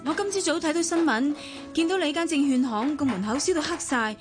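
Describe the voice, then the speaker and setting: A woman acting as a thoughtful, caring woman in a Hong Kong Cantonese radio play. Her voice is high and soft, moving between gentle dialogue and introspective narration, with music clearly underneath. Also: a little fast, lightly acted. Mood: concerned. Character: concerned, reflective woman speaking to a businessman and narrating her thoughts.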